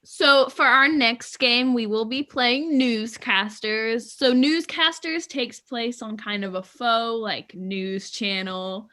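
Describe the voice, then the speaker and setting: A woman from an improv troupe explaining the next game to the audience. Her voice is high and crisp-bright. She sounds chatty and upbeat. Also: takes it slowly, hardly any pauses. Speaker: improv performer and game announcer.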